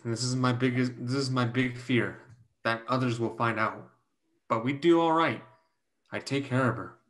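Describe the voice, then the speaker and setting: A man playing a protective, plain-spoken young Chinese brother in a play reading over a video call, speaking in measured, formal phrases. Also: mid-range voice, fairly bright tone, natural voice. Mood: guarded, proud. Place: home room on video call.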